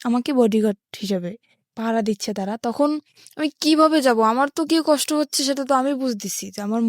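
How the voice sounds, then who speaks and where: A woman's voice: on the high side, brisk, dry recording, studio quality. A woman from rural Bangladesh with a Bangladeshi accent, telling her life story step by step in a plain-spoken way as an interview guest. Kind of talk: personal life-story narration in a radio interview.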